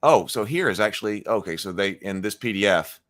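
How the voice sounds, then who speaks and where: A man with a lowish voice and a bright, forceful edge hosts a relaxed live stream. He talks off the cuff, restarting sentences and adding fillers as he thinks. Between thoughts he answers his viewers. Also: brisk. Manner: rambling, thinks aloud with frequent restarts and fillers; reads viewer chat comments aloud and replies. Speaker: livestream host. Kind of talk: casual live-stream chat about tabletop gaming.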